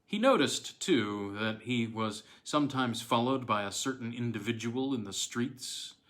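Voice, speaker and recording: A man reads an old tale of the uncanny as an audiobook narrator. His voice sits at an ordinary middle pitch, and he takes the story slowly and deliberately, pausing for effect. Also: expressive, a bit bright. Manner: measured, deliberate storytelling with dramatic pauses. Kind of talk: scripted narration of a classic horror tale.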